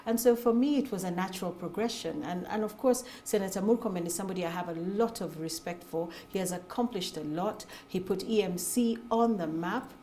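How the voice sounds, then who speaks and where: A Kenyan woman in her forties, answering interview questions about her run for the Senate in an articulate, measured way. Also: medium pitch, mellow, slight background noise. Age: 40s. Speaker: Senate aspirant, guest.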